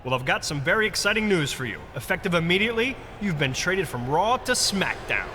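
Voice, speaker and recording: A man's voice: high voice, sing-song, a little fast, sharp tone, a little hiss or hum, exaggerated voice. A man playing a glib, upbeat wrestling executive in a video game cutscene, talking like a salesman. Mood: upbeat, persuasive. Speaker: wrestling brand representative.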